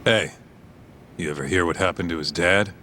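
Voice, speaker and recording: A man's voice: deep voice, moderate pace, pushed and bright, slight background noise. An actor playing a streetwise, loyal tough guy in a video game, frank, somber and plain-spoken. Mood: somber, reflective. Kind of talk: dramatic game voice acting, storytelling.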